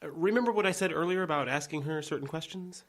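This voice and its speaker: Man acting earnest, higher-voiced awkward guy. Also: quick pace, close to an everyday voice. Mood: questioning, uneasy. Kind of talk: audio drama acting.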